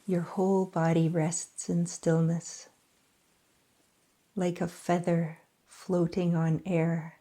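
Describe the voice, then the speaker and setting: Calm woman leading yoga nidra, low-pitched and unhurried, gentle pauses. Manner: slow, soft, soothing delivery with long pauses. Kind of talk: guided meditation.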